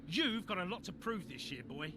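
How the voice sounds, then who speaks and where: An actor playing a blunt, hot-tempered middle-aged boss of a racing team in a video game cutscene. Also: male voice, high voice, very animated, normal speed, noisy background. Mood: furious, scolding. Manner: blunt, commanding, scolding. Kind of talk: cinematic video game dialogue.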